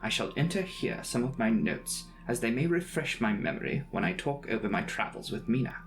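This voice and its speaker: A man narrates as an earnest, inquisitive young male traveller in an audiobook, precisely recounting his journal. The voice is creaky at the edges and highly varied in pitch, with music behind it. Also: big pitch swings, a little fast, poor audio. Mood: earnest, curious. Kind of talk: dramatic audiobook reading of a journal.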